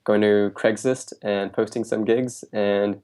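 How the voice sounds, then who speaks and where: A young man with a fairly low voice answers interview questions. He talks loosely and casually, with many ums and restarts. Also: unhurried, smooth. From Bangladesh, raised in New Jersey. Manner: relaxed, with frequent fillers and restarts. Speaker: guest.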